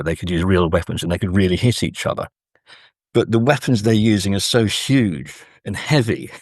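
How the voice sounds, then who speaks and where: A man speaking as a scholarly interview guest, explaining in a thoughtful, halting way with frequent pauses mid-sentence. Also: on the low side, no echo, studio quality. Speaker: guest academic and author.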